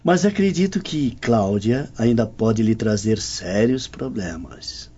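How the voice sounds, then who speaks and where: An actor playing a worldly, affable Roman gentleman in a radio drama, courteous and theatrical as a confidant. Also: lowish voice, expressive, measured pace. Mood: confiding, cautionary. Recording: dull audio over music bed.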